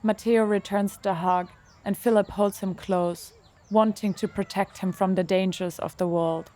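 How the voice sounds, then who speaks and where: A woman reading scripted narration for an audio drama in a gentle, measured way. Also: medium pitch, fairly even intonation.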